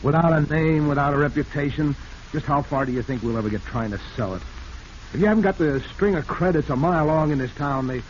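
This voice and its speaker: A man's voice: on the high side, lively intonation, fast, lightly acted. A man playing a bitter, struggling writer with an American accent, testy and emphatic in 1940s radio acting style. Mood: bitter, exasperated. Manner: testy, self-pitying, emphatic. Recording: muffled, noisy vintage radio recording.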